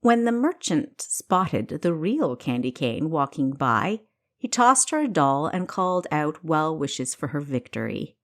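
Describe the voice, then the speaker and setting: Woman reading her own novel aloud, slow pace, really varied pitch, slightly low voice. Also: big pitch swings. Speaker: author narrating own audiobook. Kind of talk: expressive scripted fiction narration.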